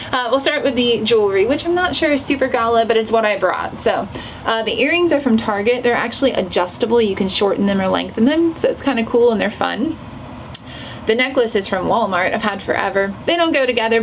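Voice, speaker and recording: A woman's voice: a little high, a little hiss or hum, poor audio. A woman chatting casually for a travel vlog in a self-deprecating way. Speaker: travel vlogger.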